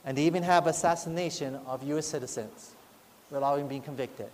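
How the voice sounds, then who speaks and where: A man's voice: on the high side, quick pace, darkish tone, slight background noise. A man preaching a sermon as pastor, often asking the congregation whether they agree. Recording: long hall reverb.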